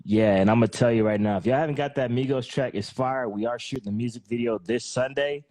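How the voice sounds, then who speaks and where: A man's voice: medium pitch, fairly quick, darkish tone, no room sound. A man hosting a podcast, chatting casually with a guest and ending his lines with "man" and "bro". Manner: laid-back slangy talk, "man" and "bro" at the end of lines. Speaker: podcast host. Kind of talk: casual interview chat.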